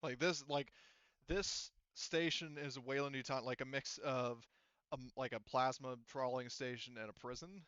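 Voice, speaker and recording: A man speaking as a game master, explaining the story in a halting, conversational way. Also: mid-range voice, fairly even intonation, a bit bright, clear, resonant tone, no echo, muffled recording. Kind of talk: improvised tabletop roleplay narration.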